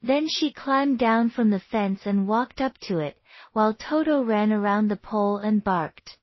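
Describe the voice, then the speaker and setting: A woman's voice: mid-range voice, measured pace, no room sound, muffled recording. A woman narrating a scripted children's bedtime story as a read-aloud.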